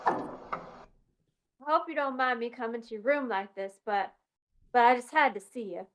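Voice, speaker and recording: A woman's voice: on the high side, moderate pace, natural voice. A woman playing an apologetic small-town husband in an old-time western radio drama, hesitant and subdued. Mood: sheepish.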